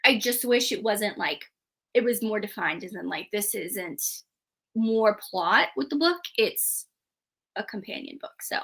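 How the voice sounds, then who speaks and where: A woman co-hosting a book discussion, chatting casually in an animated, emphatic, informal way. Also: mid-range voice, measured pace, husky. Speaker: book review show co-host. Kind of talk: casual chat about books.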